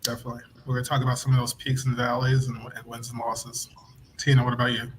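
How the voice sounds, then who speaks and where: A man hosting an interview, asking informal questions in a halting way and often repeating words. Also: medium pitch, completely flat delivery, low-quality recording. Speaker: interview host. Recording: faint background noise.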